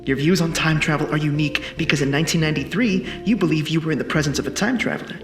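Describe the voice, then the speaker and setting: An actor playing a commanding, cocky time traveler in an action sci-fi film, terse and insistent. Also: male voice, fairly high pitch, rapid-fire, lightly acted. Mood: confident, knowing. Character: urgent male time-traveling protector from the future, commanding and cocky. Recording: roomy sound with music behind.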